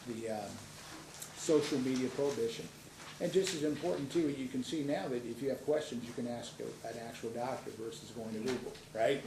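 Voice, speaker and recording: A man serving as judge, giving the jury formal instructions in a measured way with light asides. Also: medium pitch. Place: courtroom. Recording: distant, roomy courtroom audio with noise.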